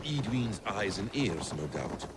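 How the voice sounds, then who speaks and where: An actor playing a watchful, measured companion, guarded and terse, in a dramatic game scene. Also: male voice, fairly low pitch, sing-song, heavy background noise. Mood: wary. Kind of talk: dramatic video game acting.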